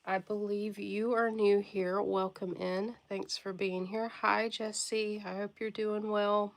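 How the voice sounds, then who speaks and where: Stuffy-nosed woman chatting on a slow live stream. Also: mid-range voice, little pitch movement. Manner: friendly greetings to viewers by name; occasional coughing and throat clearing. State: stuffy nose from a cold. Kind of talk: casual livestream chat.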